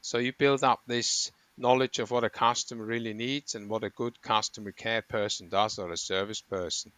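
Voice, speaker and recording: A man's voice: on the low side, steady tone, normal speed, fairly bright tone, smooth, dry recording. A middle-aged man from Switzerland, a running coach, talking in an interview with rambling, self-correcting explanations. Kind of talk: conversational interview.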